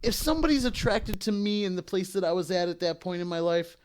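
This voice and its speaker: A young man with a high, light voice tells an embarrassing story in a loose, rambling way. He stumbles, restarts and laughs at himself as he goes, in casual podcast chat. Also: animated, fast talker, a little hiss or hum. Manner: self-deprecating, rambling with restarts. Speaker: podcast host.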